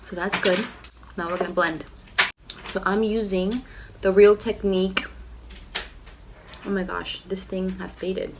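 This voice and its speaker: Nasal, rough-voiced woman with a cold. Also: mid-range voice, fairly even intonation, a little slow, pauses often, background noise. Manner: chatty, lots of "like" and "you know". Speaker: beauty vlogger. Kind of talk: casual makeup tutorial. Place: home.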